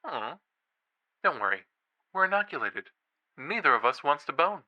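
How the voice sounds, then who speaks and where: An actor playing an eager young college true-crime podcaster in a horror audio drama, a teasing, suspenseful storyteller. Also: male voice, fairly high pitch, sing-song, normal speed, highs cut off. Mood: playful, spooked. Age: young adult.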